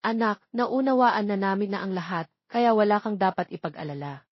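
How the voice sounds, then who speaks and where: A woman playing a calm, husky older father. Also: medium pitch, brisk, no room sound, lightly acted. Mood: reassuring. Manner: reassuring, fatherly. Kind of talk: acted dialogue in an audio romance story. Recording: dull lo-fi, close dry sound.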